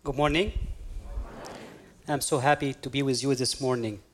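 A man with an Egyptian accent, a native Arabic speaker, preaching a sermon as a guest pastor, halting now and then as he hunts for words. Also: medium pitch, steady tone. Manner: halting, hunting for words with many pauses.

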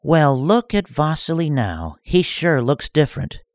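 A man's voice: a little high, sing-song, a little slow, no echo, voice pushed up. A man voicing a crazy, cruel female Sith princess who threatens in a haughty tone.

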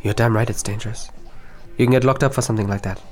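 An actor playing a guarded, earnest young East German activist in a radio drama, speaking in an intense, halting way. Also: male voice, on the low side, fairly even intonation, faint music behind, close to an everyday voice. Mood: grim, grieving.

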